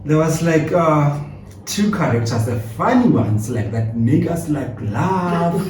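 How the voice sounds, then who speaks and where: A man's voice: fairly high pitch, lively intonation, takes it slowly, a little mellow, distant mic. A man asking casual, hesitant questions as an interviewer. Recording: echoey room, off-mic, faint noise.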